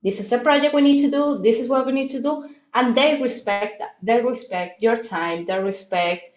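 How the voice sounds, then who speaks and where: A woman's voice: a little high, moderate pace, a little mellow, lo-fi sound. Latin American woman in an echoey room. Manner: reflective, with many fillers and restarts. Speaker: guest. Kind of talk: casual interview talk.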